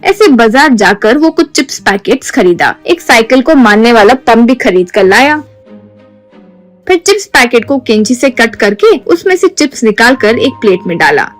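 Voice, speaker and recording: Higher-pitched woman narrating a story over music. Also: very animated, moderate pace. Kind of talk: scripted moral-story narration. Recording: music behind the voice. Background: background music.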